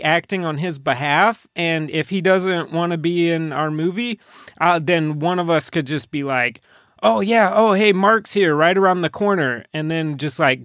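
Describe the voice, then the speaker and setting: Higher-pitched man bantering on a podcast. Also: fairly bright tone, no echo, studio quality. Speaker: podcast host. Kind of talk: casual comedic banter.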